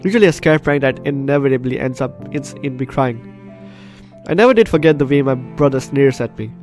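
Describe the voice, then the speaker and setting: Male let's-play host, medium pitch, expressive reading and interjected commentary, game music in the background. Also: a little fast. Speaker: gaming video host. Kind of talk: gameplay commentary and reading game text aloud.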